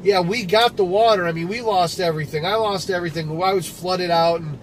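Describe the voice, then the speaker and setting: A man's voice: high-pitched, brisk. A man from Michigan hosting a casual live stream while he drives, talking in a rambling, conversational way full of restarts. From Michigan, USA. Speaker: livestream host. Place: inside a car, driving. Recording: noisy car recording with road noise.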